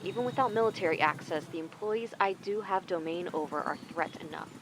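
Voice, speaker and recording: A woman's voice: lowish voice, fairly quick, background noise, natural voice. A woman acting as a menacing underworld dealer in an audio drama, dry, businesslike and disdainful. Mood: commanding.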